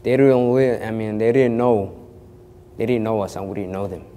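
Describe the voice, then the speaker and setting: A man's voice: fairly low pitch, normal speed, dark tone, big-room sound, a little hiss or hum. A young man born in Kenya of a Somali family, telling his story in an interview with lots of restarts and "you know". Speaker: actor interviewed.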